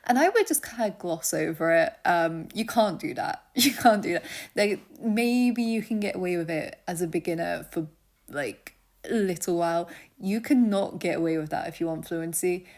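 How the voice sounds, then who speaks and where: A young woman casually teaching a vocabulary lesson, chatty and laughing often, dropping to a soft near-whisper when she gives word meanings. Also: on the low side, normal speed. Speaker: language teacher.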